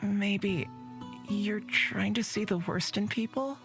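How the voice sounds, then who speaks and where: A woman's voice: medium pitch, slow, faint music behind. A woman voicing a timid, flustered young man who hesitates and stammers, in a visual novel.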